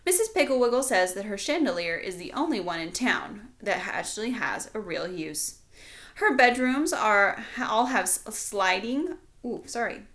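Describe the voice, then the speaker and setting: Bright-voiced woman reading a children's storybook. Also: mid-range voice, expressive, moderate pace. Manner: explanatory, addressing young listeners directly. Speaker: teacher reading aloud to pupils. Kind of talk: children's storybook read-aloud with casual asides.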